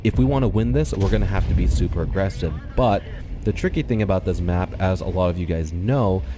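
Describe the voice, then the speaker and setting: A man with a slightly nasal, congested voice calmly explaining a game replay to his viewers on a desktop microphone, thinking aloud with the odd um. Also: deep voice, fairly quick, noisy background. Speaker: gaming channel host. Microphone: desktop USB microphone.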